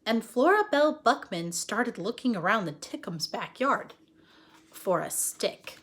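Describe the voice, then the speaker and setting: Animated, high-voiced woman reading a story aloud. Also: measured pace, slightly rough. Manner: expressive, explains simply, often checks in with listeners. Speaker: teacher reading aloud to children. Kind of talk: animated read-aloud storytelling with explanatory asides.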